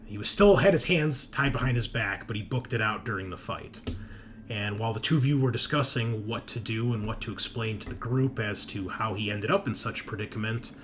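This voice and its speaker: A man's voice: fairly low pitch, fairly quick, a little hiss or hum. A man speaking as game master, recapping a tabletop roleplaying session in informal storytelling with dramatic emphasis. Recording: music bed under the voice. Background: background music.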